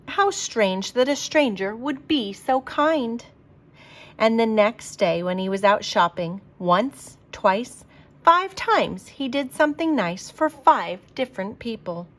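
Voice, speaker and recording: A woman reading a children's picture book aloud like a teacher, with expressive, emphatic delivery for young listeners. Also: mid-range voice.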